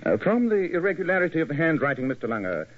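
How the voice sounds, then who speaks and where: An actor playing a coolly analytical British gentleman detective, precise and self-assured, in 1940s radio style. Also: fairly high pitch, big pitch swings, very fast. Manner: precise, clipped, deductive. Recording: dull old radio recording.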